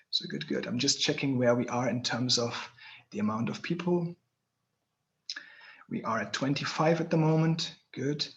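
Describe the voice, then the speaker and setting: A man's voice: on the high side, dull audio. Man hosting a video call tour. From Germany. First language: German. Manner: friendly, chatty, slightly non-native phrasing with fillers. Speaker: tour guide and host. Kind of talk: live online tour introduction.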